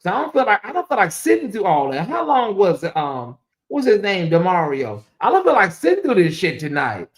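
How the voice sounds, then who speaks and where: A man talks casually to his livestream viewers from home. His voice is hoarse and fading, and he rambles, circles back and repeats lines with dry sarcasm. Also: high-pitched, wildly swooping pitch, a little fast. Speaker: livestream host. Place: home in Atlanta, cold room.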